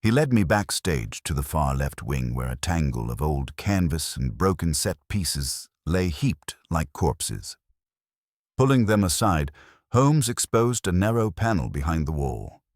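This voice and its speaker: A very deep male voice narrates a scripted mystery in the first person. His delivery is measured and formal, with animated rises and falls in pitch. The sound is dry and close, free of room echo. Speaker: audiobook narrator.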